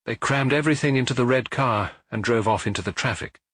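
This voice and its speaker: A man's voice: medium pitch, fairly quick, fairly bright tone, slightly rough, no room sound, clean studio sound. A man reading a detective novel aloud as an audiobook narrator, in a scripted literary style.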